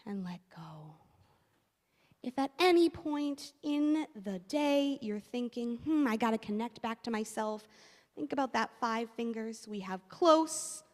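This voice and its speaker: Woman, youth leader, bright and playful, somewhat high voice, big church reverb. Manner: upbeat, playful, addressing children. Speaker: children and youth program leader. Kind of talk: leading a children's time in a service. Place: church sanctuary.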